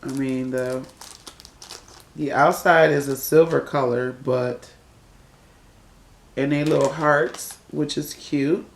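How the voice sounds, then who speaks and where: A woman casually chatting through an unboxing for her viewers, her voice raspy from a cold as she admires each piece. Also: rumbling low, fairly even intonation, slow pace, long pauses, a little hiss or hum. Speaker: unboxing vlogger. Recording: echoey room.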